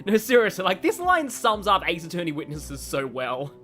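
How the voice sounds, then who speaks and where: Animated, chatty woman game streamer. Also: fairly low pitch, moderate pace, faint music behind. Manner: chatty, excitable, stammers. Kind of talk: casual live game commentary.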